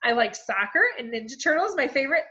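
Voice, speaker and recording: A woman's voice: high-pitched, expressive, fast talker, sharp tone. Woman doing a small, bubbly little girl. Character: small, energetic young girl. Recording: dull lo-fi recording.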